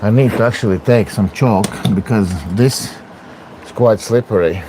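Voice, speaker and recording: A low-voiced man chats to his viewers in broken English while working through a training exercise. An arm wrestler, he keeps talking through the effort. A faint noise hums behind him. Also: normal speed. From Latvia. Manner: broken English, chatty, talks through exertion. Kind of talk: casual vlog talk while training.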